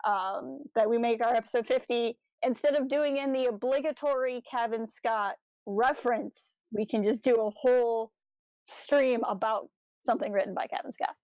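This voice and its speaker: Woman, fairly high pitch, dry studio-like sound, podcast co-host, playful banter, casual. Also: normal speed, a little mellow, no room sound, rough recording. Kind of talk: casual livestream chat.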